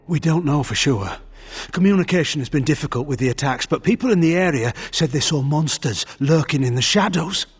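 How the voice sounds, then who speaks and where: An actor playing an anxious merchants' guild official in a video game, husky, earnest, fretful and deferential. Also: male voice, fairly high pitch, lively intonation, a little fast. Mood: worried. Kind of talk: video game character acting.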